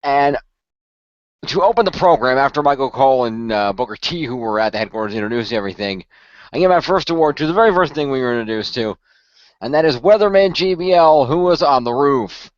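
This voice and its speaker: A man's voice: mid-range voice, expressive, a little fast, no room sound. Rambling guy recapping stuff on a podcast, dry muffled sound. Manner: rambling storyteller with many restarts. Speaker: podcast co-host. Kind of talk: casual recap.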